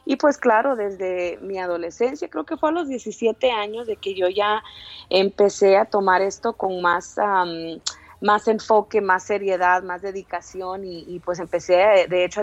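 A woman's voice: mid-range voice, moderate pace, no room sound, lo-fi sound. A woman in her thirties, born in El Salvador, a singer answering interview questions in a chatty way and laughing often. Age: 30s. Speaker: singer, guest.

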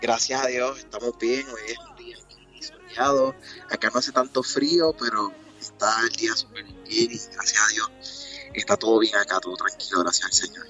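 Puerto rican man, 20s, devout gospel singer guest, low voice, dull lo-fi call sound. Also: fairly even intonation, sharp tone, soft background music. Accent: Puerto Rican Spanish. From Puerto Rico. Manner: earnest, devout, many pauses and fillers. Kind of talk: remote interview.

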